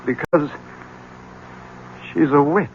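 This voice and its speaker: An actor playing a polite but tense, troubled husband in a radio drama, speaking hesitantly. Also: male voice, on the high side, takes it slowly, vocal fry. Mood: tense, earnest. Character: apologetic, tense, troubled husband confessing dark plan. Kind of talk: 1970s radio drama acting. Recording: dull vintage radio broadcast, faint noise.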